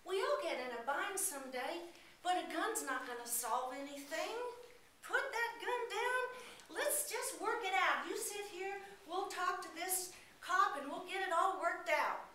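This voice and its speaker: A woman's voice: very high-pitched, expressive, unhurried, sharp tone, a little hiss or hum, voice pushed up, full character voice. A woman voicing a kind old man who runs a gas station, talking in a soothing, folksy way. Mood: kindly. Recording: echoey room from far off.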